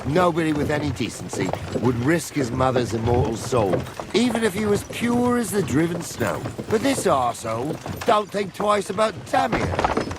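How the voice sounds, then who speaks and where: Casting: scornful medieval officer, sarcastic, varied intonation, game scene amid loud clattering ambience. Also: male voice, fairly high pitch, big pitch swings, normal speed, noisy background. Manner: sarcastic, blunt. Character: stern, sardonic male medieval captain, scornful of criminals. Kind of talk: video game drama acting. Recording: loud outdoor cart and hoof ambience.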